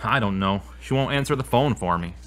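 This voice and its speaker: Man acting low-voiced troubled, remorseful guy. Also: lively intonation, fairly quick, close to an everyday voice. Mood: defensive, subdued. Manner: remorseful, hesitant. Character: troubled, self-blaming young man at odds with his girlfriend. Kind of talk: audio drama acting.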